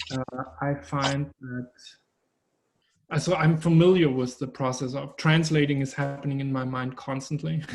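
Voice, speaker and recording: A man whose first language is German, an artist speaking thoughtfully in an interview, pausing often with fillers. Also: medium pitch, gravelly, a little mellow. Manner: thoughtful, hesitant with many fillers. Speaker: artist guest. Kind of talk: conversational interview.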